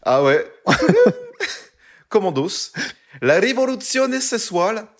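A man's voice: sits high, wildly swooping pitch, slow pace. A young man co-hosting a casual podcast, telling a story in a loose, joking way with lots of fillers. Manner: jokey, loose delivery with many fillers. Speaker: podcast co-host presenting a segment. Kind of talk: casual chat.

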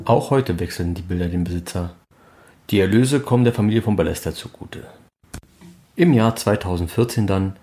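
Male podcast narrator, low register, scripted documentary read. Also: a little mellow. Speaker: fan podcast host and narrator.

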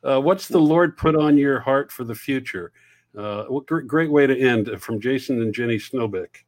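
A man's voice: mid-range voice, moderate pace, darkish tone, dry recording. A man hosting an interview, responding with affirming, encouraging remarks. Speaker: host.

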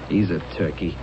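An actor playing an anxious, insistent young jazz musician husband with an American accent, halting and slangy in a radio drama. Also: male voice, deep voice. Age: young adult. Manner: halting, slangy, repeating himself. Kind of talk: 1970s radio drama acting. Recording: noisy, muffled 1970s radio drama tape.

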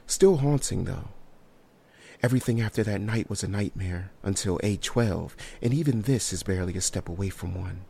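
A man's voice: fairly low pitch, moderate pace, vocal fry, mellow, a little hiss or hum. An actor playing a haunted survivor in a horror audio drama, recounting his ordeal in a hesitant, solemn and descriptive way. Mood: haunted awe. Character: haunted male survivor recounting a harrowing night.

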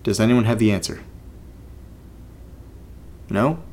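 A man playing an uneasy, reluctant character in a horror audio drama, speaking haltingly in a naturalistic monologue, as if muttering to himself. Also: fairly low pitch, darkish tone, slight background noise. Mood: uneasy, subdued. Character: uneasy, reluctant man exploring a creepy place and reading strange letters. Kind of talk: naturalistic found-recording audio drama monologue, partly reading a letter aloud.

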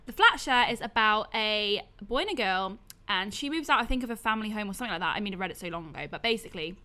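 A woman's voice: medium pitch, animated, fast, pushed and bright. A young woman with a Welsh accent, co-hosting a podcast, chatty and laughing often. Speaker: podcast co-host. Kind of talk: casual chat.

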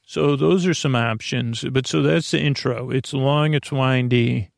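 A man hosting a bedtime podcast in a gravelly voice, rambling in a halting, meandering way, repeating words and circling back after losing the thread. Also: mid-range voice, fairly even intonation, no echo, studio quality. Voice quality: gravelly, creaky voice. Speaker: podcast host.

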